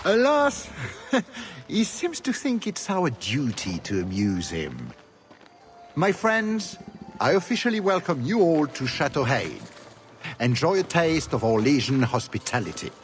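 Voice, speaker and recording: An actor playing a suave, theatrical, sardonic duke in a video game, speaking with flowery, mocking courtesy. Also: male voice, a little high, wildly swooping pitch, a little slow. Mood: playful, courtly. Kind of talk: video game cutscene acting.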